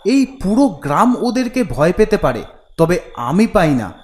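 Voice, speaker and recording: A man's voice: sits high, very animated, measured pace. A man voicing a defiant, fearless young village son in a horror cartoon, speaking in a bold, resolute way. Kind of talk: animated horror cartoon acting.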